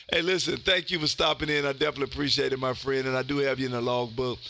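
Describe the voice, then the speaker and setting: A man's voice: medium pitch, steady tone, fast talker, creaky, sharp tone. A man hosting a live amateur radio stream, chatting casually in an effusive, folksy way and peppering his talk with "man". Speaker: amateur radio livestream host. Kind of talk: casual radio chat with listeners.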